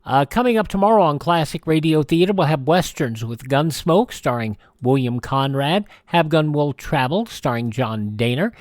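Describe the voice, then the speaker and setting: A man's voice: fairly high pitch, animated, moderate pace, hardly any pauses, no echo, studio quality. A man hosting a radio program, announcing shows in an informal but scripted way. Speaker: radio show host.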